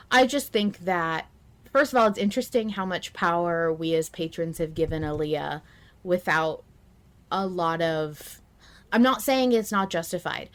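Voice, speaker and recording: A woman co-hosting a podcast, talking casually and informally and giving her opinions. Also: lowish voice. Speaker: podcast co-host. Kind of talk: casual podcast discussion of a fiction show.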